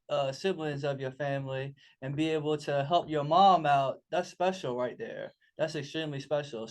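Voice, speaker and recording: A man with a notably high voice hosts a sports talk radio show. He questions his guest in an upbeat tone and keeps praising him. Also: darkish tone, clear, resonant tone. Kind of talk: radio interview hosting.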